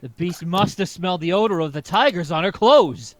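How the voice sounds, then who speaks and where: Earnest circus helper, 1940s radio drama played by a man: lively intonation, dry close sound. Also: high-pitched, a little fast, no room sound. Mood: shocked. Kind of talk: 1940s radio serial acting.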